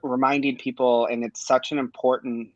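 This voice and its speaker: A man's voice: medium pitch, moderate pace, dry recording. Young man on a dull-sounding video call. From Michigan. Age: 30s. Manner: relaxed, hesitant, many ums and restarts. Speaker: co-host, campaign volunteer. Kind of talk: casual live-stream chat.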